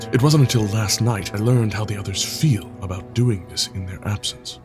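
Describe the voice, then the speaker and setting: Man acting a brooding low-voiced leader, music behind. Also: a little slow, close to an everyday voice. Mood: grave, somber. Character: brooding, guarded, troubled leader of outcast fighters. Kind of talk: full-cast audio drama acting. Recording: dramatic music under the voice.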